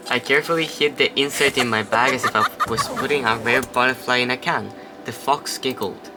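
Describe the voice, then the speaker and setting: A man's voice: medium pitch, background noise. A man casually playing a story game, reading its narration aloud and chatting between lines, now and then swearing. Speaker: let's play host.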